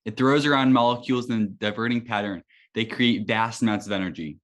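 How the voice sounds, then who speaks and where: A man's voice: on the low side, brisk, fairly bright tone, natural voice. A man playing an earnest, brainy young rookie superhero with a hesitant manner, at a script table read. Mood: explanatory.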